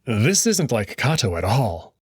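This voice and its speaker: A man narrating a story in the first person in an expressive storytelling manner. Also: mid-range voice, a little slow, slightly rough, dry recording, studio quality. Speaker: audio drama narrator.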